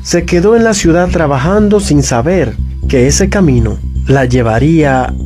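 A man's voice: on the high side, expressive, darkish tone. Man narrating a radio feature over music. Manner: measured, storytelling delivery. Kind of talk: scripted narration.

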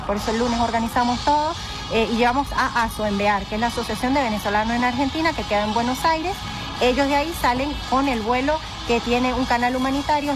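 A woman speaking as a campaign spokesperson, briskly listing needed supplies and plans with frequent hesitation fillers. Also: on the high side. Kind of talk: on-site radio interview. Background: background music.

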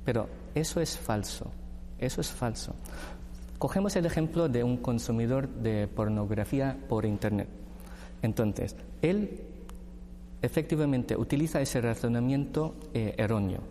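A man from the Philippines speaking with a Filipino accent as a panel guest, explaining carefully and deliberately in a language that is not his first. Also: medium pitch, mellow. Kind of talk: discussion panel. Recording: reverberant room with faint noise.

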